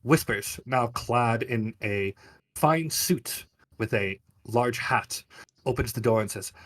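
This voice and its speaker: A man's voice: mid-range voice, takes it slowly, fairly bright tone. A man narrating a tabletop fantasy game as game master, setting scenes in a vivid, theatrical storyteller's way.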